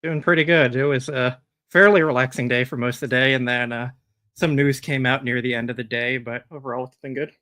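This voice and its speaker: A man co-hosting a casual sports chat, giving news in an even, matter-of-fact way. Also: medium pitch, fairly quick. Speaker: co-host, managing editor.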